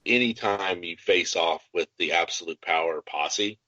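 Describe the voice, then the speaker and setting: A lowish-voiced man talks game strategy as a podcast co-host, restating his points with emphasis. The sound is very dry and close, a little muffled and lo-fi. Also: unhurried, bright, forceful tone, dry recording. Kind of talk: casual tabletop game strategy talk.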